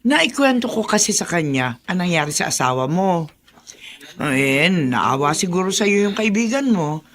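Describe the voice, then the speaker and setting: A woman's voice: on the low side, very animated, moderate pace, a bit bright. A woman playing a kindly, chatty older neighbor in a radio drama.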